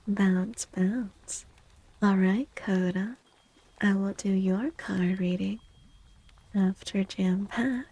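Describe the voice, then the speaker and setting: A woman streaming soft-spoken ASMR tarot readings, speaking gently and breathily, often dropping into a whisper and repeating her words. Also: medium pitch, fairly even intonation, slow. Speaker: live streamer doing tarot readings for chat.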